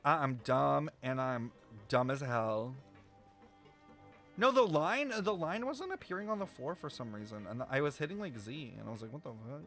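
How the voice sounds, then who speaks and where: A man's voice: mid-range voice, expressive, normal speed, fairly bright tone. A young man livestreaming, chatting casually and expressively with his viewers as he reacts to a game, in slangy speech. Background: game music.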